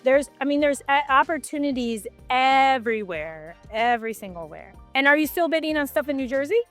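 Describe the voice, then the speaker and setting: A woman's voice: high voice, expressive, brisk, faint music behind. A woman from Michigan hosting a casual business chat, talking in an enthusiastic, chatty way full of "like". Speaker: host.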